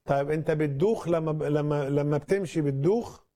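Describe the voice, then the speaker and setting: A man with an Egyptian accent speaks very quickly in a soft, mellow tone, slightly high for a man. He is a doctor fielding calls on a live medical advice show. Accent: Egyptian Arabic. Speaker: doctor answering callers on a TV phone-in show.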